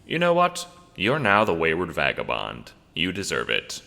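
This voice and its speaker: A man performs an imperious vagabond from an audio drama, grumpy and exclamatory. The voice is forceful and leaps up in pitch above a gravelly base, ringing in a long hall-like reverb. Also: wildly swooping pitch, moderate pace, full character voice. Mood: demanding. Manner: blunt, demanding, exclamatory. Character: grumpy, imperious vagabond drifter shouting typed commands at a screen.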